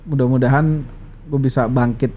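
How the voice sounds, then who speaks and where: A man's voice: mid-range voice, steady tone, moderate pace, warm tone. A middle-aged man with a Jakarta accent, chatting casually as the guest in an interview. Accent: Jakarta Indonesian. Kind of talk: casual chat. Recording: clear background noise.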